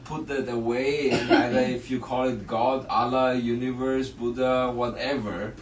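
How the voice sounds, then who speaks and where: A man guest on a podcast, speaking earnestly in casual spiritual chat, pausing often with filler sounds. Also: medium pitch, steady tone. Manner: earnest, pauses with many uhs. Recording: roomy, distant mic with faint noise.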